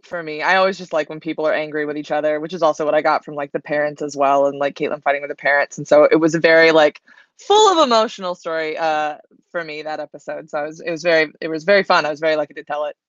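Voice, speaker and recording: A woman's voice: deep voice, fast, no room sound. A woman speaking casually as a writer on a panel, thinking aloud in a conversational way. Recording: dull lo-fi audio.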